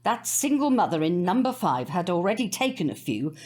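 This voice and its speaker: An actress playing a prim, disapproving, snobbish neighbour woman in an audio drama, husky-voiced. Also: fairly low pitch, big pitch swings, fairly quick, darkish tone. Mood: haughty, disapproving. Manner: prim, haughty, clipped.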